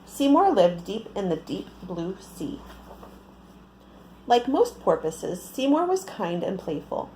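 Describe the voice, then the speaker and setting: Very animated, mellow woman reading to children. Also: mid-range voice, takes it slowly, a little hiss or hum, low-quality recording. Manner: expressive, asks the listeners questions. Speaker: children's storyteller. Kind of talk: picture-book read-aloud for young children.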